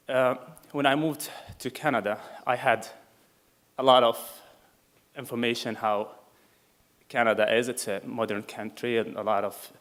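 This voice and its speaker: A man from Syria with a Syrian Arabic accent giving a prepared talk at a meeting, measured and full of pauses. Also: lowish voice, fairly bright tone. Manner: measured, with many filler pauses. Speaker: refugee speaker at a meeting.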